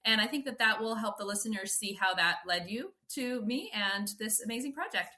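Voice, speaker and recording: A woman's voice: medium pitch, animated, fairly quick, bright, forceful tone. A woman in her forties hosting a podcast interview, talking in an enthusiastic, effusive way. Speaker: podcast host.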